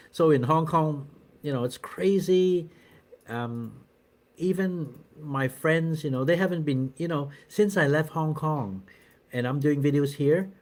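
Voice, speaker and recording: A middle-aged man talks loosely to his viewers on a live stream, with a voice of ordinary pitch. He rambles, restarts sentences and fills pauses with "uh" and "you know". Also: a little mellow. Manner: rambling, many fillers and restarts. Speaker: channel host doing a live stream. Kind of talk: casual live-stream chat answering viewers.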